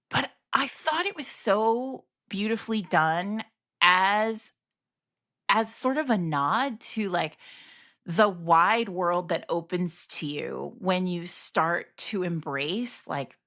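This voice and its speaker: A woman with a bright, forceful voice co-hosts a podcast in easy conversation. She is animated and thinks aloud, restarting her sentences often. Her voice sits a little low. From grew up speaking Italian at home. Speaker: podcast co-host. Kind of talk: casual book discussion.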